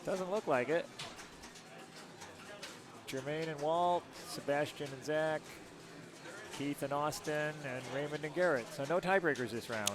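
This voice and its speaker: A man from Rochester giving live pinball tournament commentary, calmly explaining the rules and scoring of each shot. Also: on the high side, measured pace, pauses often. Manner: explanatory, rule-focused play-by-play. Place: tournament hall. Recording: noisy crowd in the background.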